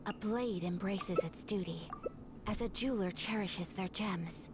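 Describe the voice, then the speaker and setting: A woman's voice: medium pitch, unhurried, close to an everyday voice. A woman voicing a poised, bookish young male swordsman in a video game, calling out elegant, poetic battle lines. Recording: game audio under loud music.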